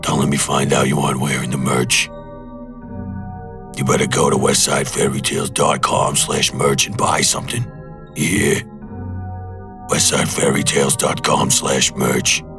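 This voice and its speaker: A menacing tough guy played by a man rumbles out a pushy sales pitch in an extremely deep voice. It is thick with gravel, slangy and threatening, with music running behind the comic podcast promo. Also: flat delivery, measured pace, heavily acted. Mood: pushy menace. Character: menacing, foul-mouthed tough guy hawking merchandise.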